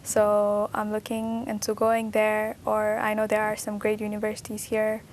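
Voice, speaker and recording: A teenage exchange student from Ethiopia answering interview questions in a measured, thoughtful way. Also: female voice, mid-range voice, completely flat delivery, slight background noise. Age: teens. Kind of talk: studio interview. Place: TV studio.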